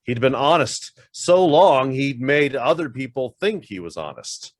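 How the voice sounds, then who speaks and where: An actor playing a guilt-ridden, fervent embezzler on the run in a radio drama, with an emphatic, theatrical delivery. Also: male voice, medium pitch, very animated, unhurried. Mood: desperate, defiant. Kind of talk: stage-style radio drama acting.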